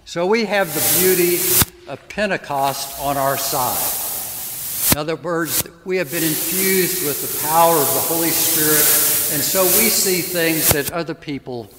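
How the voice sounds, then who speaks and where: A man in his seventies preaching a sermon, with a somewhat high voice for a man. He tells stories gently and asks the congregation questions as he goes. His voice rings through a long church reverb, with clear hiss underneath. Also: a little slow. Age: 70s. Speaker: priest. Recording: echoey church hall with hiss.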